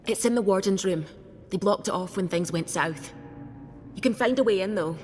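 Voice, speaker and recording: A woman's voice: medium pitch, moderate pace, a little hiss or hum, lightly acted. A woman playing a defiant, sardonic smuggler held prisoner in a video game, talking bluntly and scornfully. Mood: urgent. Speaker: game character. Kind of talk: video game dialogue acting. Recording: orchestral game music behind the voice. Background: loud music.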